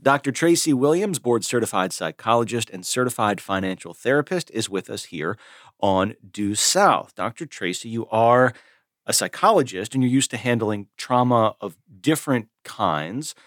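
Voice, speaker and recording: A man's voice: mid-range voice, animated, normal speed, a bit bright, dry recording, clean studio sound. A man hosting a radio show, introducing a guest and asking questions in an inquisitive way. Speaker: radio host. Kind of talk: radio interview.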